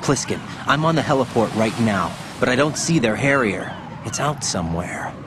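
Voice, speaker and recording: An actor playing an earnest young soldier in a video game, urgent and questioning in a military manner. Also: male voice, medium pitch, lively intonation, moderate pace, background noise, lightly acted. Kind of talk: dramatic video game voice acting.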